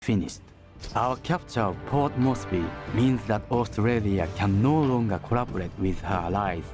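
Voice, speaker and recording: An actor playing a grave narrator of a wartime game campaign, reading in a measured, serious, documentary-like style. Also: male voice, fairly low pitch, close to an everyday voice. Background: music.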